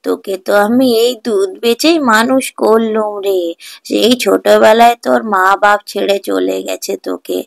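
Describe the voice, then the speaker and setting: Woman putting on a slow, fond old village granny. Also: a little high, vocal fry, dry recording. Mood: reassuring. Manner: drawn-out, fond, reassuring. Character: kindly, self-sacrificing old village grandmother. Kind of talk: animated children's folk tale acting.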